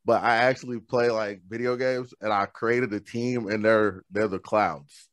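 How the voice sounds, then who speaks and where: A man's voice: on the low side, fairly even intonation, unhurried, no echo. Man, podcast host, from oklahoma, casual slangy talk, upbeat, studio mic. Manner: laid-back, slangy, upbeat. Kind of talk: casual interview chat. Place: home studio room with shelves. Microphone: studio microphone on a boom arm.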